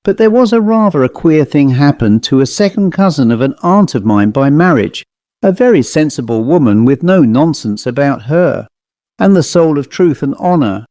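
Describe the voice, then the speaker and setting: A man's voice: on the high side, moderate pace, no room sound. Man acting a pompous, droning commercial traveller. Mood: earnest, dull. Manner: flat, inexorable, long-winded. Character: large boring commercial traveller, male, pompous, earnestly dull.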